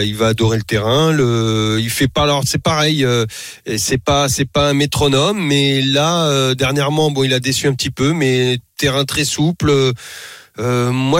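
Relaxed male radio pundit. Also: medium pitch, dry recording, studio quality. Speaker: racing pundit. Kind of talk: radio panel discussion.

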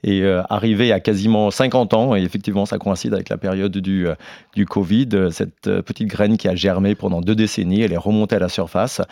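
Gravelly low-voiced American-born man in his fifties. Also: a little fast, dry recording. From United States. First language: English. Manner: relaxed, chatty, with frequent restarts. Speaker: guest, sportswear brand founder. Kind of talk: radio interview.

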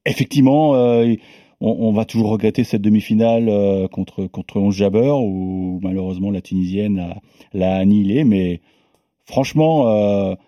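A man's voice: fairly low pitch, moderate pace, mellow, no room sound, studio quality. A man, a guest tennis journalist, talking through his views with hesitant restarts. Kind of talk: casual podcast discussion.

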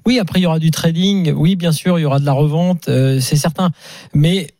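A man giving casual football punditry on live radio, reasoning in a halting way and repeating words as he goes. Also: fairly high pitch, steady tone, a little mellow, no room sound. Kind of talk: casual live debate commentary. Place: dry radio studio.

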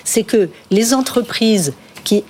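A woman speaking as an interview guest, explaining mentoring in a steady, clear way. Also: a little high, slow, slight background noise.

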